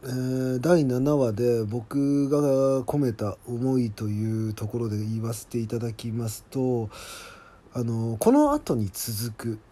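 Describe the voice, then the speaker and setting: A man's voice: mid-range voice, expressive, slow pace, warm tone. A man hosting a solo podcast as its drama's writer, giving casual, chatty, admiring behind-the-scenes commentary. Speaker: podcast host and drama scriptwriter.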